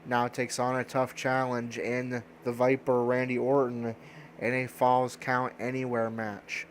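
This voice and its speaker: A man calls the action of a video game wrestling match in a level, steady voice. His delivery stays flat, with hardly any rise or fall, at a middling pitch. A faint hiss sits under the recording. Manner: even, unhurried play-by-play calling. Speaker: wrestling announcer. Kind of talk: play-by-play commentary over a video game.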